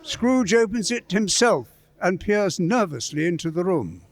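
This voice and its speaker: A man's voice: high-pitched, big pitch swings, measured pace. A man narrating a scripted story as part of a staged radio play. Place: live performance in a church.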